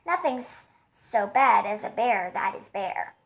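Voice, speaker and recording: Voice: mid-range voice, expressive, slow, creaky, poor audio. A woman voicing an indignant male bear who complains huffily. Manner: huffy and complaining.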